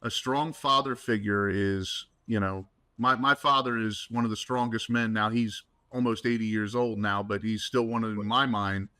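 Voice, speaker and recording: A man's voice: on the low side, steady tone, moderate pace, few pauses, pushed and bright, no echo. A man hosting a podcast, upbeat and enthusiastic as he talks with his guest. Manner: upbeat, enthusiastic, laughs easily. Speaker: podcast host. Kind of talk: casual interview hosting.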